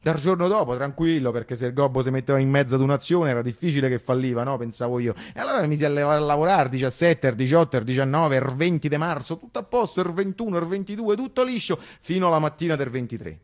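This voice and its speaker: Fast-talking Roman storyteller, played by a man. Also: on the high side, close to an everyday voice. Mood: vivid recollection. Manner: emphatic, repetitive, conversational. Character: Roman working-class man recalling his wartime boyhood, naive, animated. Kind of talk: theatrical radio monologue, colloquial storytelling.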